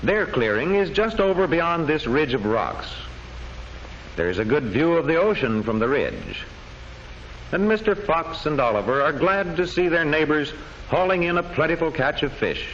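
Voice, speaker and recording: Male narrator, scripted educational film, measured declamatory delivery, very wide swooping intonation, slightly high voice, muffled old soundtrack. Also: big pitch swings, fairly bright tone. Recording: dull old film soundtrack with faint noise.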